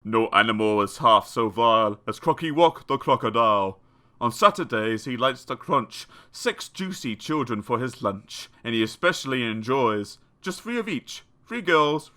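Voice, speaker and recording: A man narrates children's verse as an audiobook reader. His delivery is theatrical and expressive, with a lively, wide-ranging pitch and a bright, forceful tone. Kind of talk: dramatic children's poetry reading.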